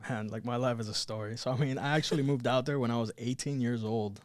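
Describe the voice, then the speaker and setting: A man in his twenties, a podcast guest born in Cuba and raised in Miami, telling stories loosely with plenty of "you know" and "like." His pitch sits in the middle range. The recording sounds dry and close. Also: moderate pace, no echo. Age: 20s. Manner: relaxed, rambling, many fillers. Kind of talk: casual chat, telling stories.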